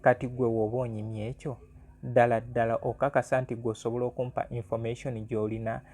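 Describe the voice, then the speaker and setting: A man's voice: mid-range voice, little pitch movement, fast talker, darkish tone. A Ugandan man hosting an informal music vlog, talking conversationally and repeating phrases for emphasis. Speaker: music analyst and channel host.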